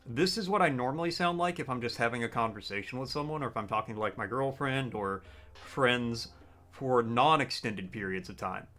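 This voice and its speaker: A man live-streaming a game, chatting casually with his viewers in a deliberately raised, customer-service-style register. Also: mid-range voice, pushed and bright, faint music behind. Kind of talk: casual live-stream chat while gaming.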